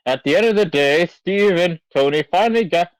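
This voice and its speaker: A man's voice: high-pitched, expressive, a little fast, no echo. A man reading aloud casually on a livestream, adding offhand, muttered comments. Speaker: livestream co-reader.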